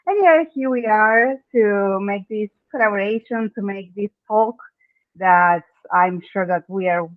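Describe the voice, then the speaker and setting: A woman's voice: mid-range voice, unhurried, dry recording. A woman from Argentina whose first language is Spanish, speaking English with an Argentine accent and explaining her work step by step as a podcast guest. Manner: earnest, explains step by step in non-native English with "okay". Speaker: guest, branding expert. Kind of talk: podcast interview. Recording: dry, phone-like remote call audio.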